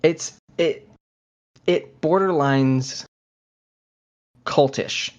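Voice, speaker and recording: A man's voice: on the high side, extremely slow. Man chatting, slightly dull recording. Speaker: podcast co-host. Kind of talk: casual conversational podcast chat. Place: at home, recording remotely.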